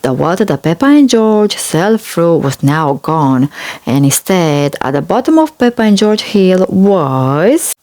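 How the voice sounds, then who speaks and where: A woman reading a children's storybook aloud in an expressive, measured way. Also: lowish voice. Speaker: storybook reader. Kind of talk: scripted children's storybook narration.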